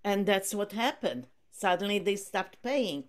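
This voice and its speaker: A woman with a Polish accent, a native Polish speaker, chatting on a video call as a book group guest, halting with frequent restarts and fillers. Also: mid-range voice, animated. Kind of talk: casual video call chat.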